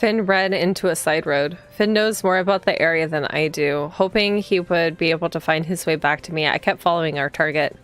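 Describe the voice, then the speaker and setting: A woman livestreaming a visual novel, reading the game text aloud and chatting casually as she thinks out loud and reacts to the story. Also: low-pitched, a little fast, few pauses, clean tone. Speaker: streamer playing a visual novel. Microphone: streaming microphone. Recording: background music behind the voice. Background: game music.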